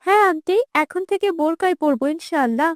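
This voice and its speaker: Lady as a worried young cartoon girl in her twenties or so, high voice, earnest, pleading, very expressive. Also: fast, no room sound, clean studio sound. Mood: earnest, defensive. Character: anxious, repentant young woman, earnest and vulnerable.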